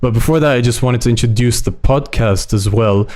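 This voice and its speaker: A man's voice: mid-range voice, a little fast, a little mellow. A man co-hosting a podcast, introducing a guest enthusiastically while showing her website. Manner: upbeat, enthusiastic. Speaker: podcast co-host.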